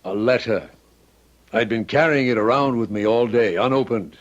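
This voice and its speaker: An actor playing an urgent, protective companion to a star in an old-time radio drama. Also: male voice, medium pitch, moderate pace, natural voice. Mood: urgent, explanatory. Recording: muffled old radio recording.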